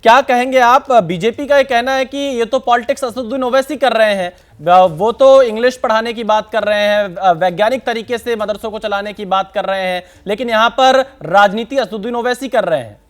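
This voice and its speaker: A male news anchor briskly introduces his guests and puts pointed questions to them. His voice sits high and carries a steady broadcast delivery. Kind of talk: TV news debate moderation.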